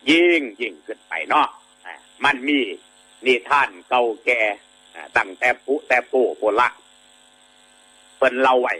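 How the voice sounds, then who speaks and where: Bright, husky Isan-accented monk preaching. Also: male voice, medium pitch, rough recording. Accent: Isan Thai. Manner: rambling and conversational, many filler sounds, keeps asking listeners to agree. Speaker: Buddhist monk giving a dharma talk. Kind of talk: folksy sermon telling a moral fable.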